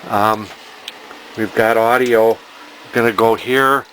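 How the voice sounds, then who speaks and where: A man's voice: fairly low pitch, fairly even intonation, slow, fairly bright tone, slight background noise, low-quality recording. A man giving casual, hands-on repair commentary as a technician, plain-spoken and technical, thinking aloud as he works. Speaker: electronics repair technician and video host.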